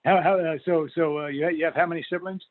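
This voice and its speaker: Fast-talking, high-pitched man on phone. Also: fairly even intonation. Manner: stammering, repeats words. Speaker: reporter asking questions. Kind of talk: conversational press questioning. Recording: thin narrowband phone line.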